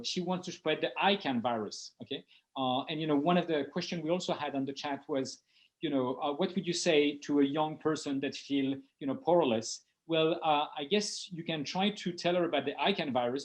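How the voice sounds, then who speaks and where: A man with a French accent giving an off-the-cuff talk on an online panel, speaking reflectively and haltingly with many pauses and repeated phrases. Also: a little high. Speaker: education organization leader speaking at a festival.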